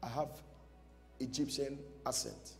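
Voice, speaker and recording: A man's voice: mid-range voice, level tone, a little slow, a bit bright. A man, preaching a dramatic storytelling sermon emphatically, repeating short phrases. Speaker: pastor preaching. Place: large church stage before a seated audience. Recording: music behind, long hall reverb.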